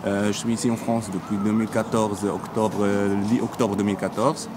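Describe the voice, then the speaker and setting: A man in his thirties from Morocco speaks earnestly in a testimonial, halting and restarting. His voice sits low and stays flat. Clear background noise runs under the whole recording. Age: 30s. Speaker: shower team leader, interpreter. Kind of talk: testimonial interview.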